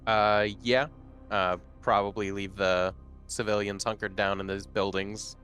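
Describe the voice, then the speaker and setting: A man's voice: on the low side, level tone, a little slow, fairly bright tone. A man chatting casually as a player in a tabletop roleplaying game. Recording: background music under the voice.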